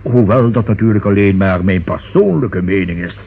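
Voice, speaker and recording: An actor playing a cautious, pedantic notary in a Dutch radio drama, formal, long-winded and pompous. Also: male voice, on the low side, normal speed, gravelly, breathy edge. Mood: solemn, precise. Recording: thin, muffled old radio recording.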